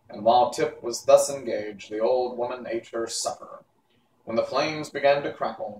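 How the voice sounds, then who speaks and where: A man reading a classic children's book aloud in an unhurried, expressive storytelling manner. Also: mid-range voice. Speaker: audiobook reader. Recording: echoey room, distant mic, faint hiss.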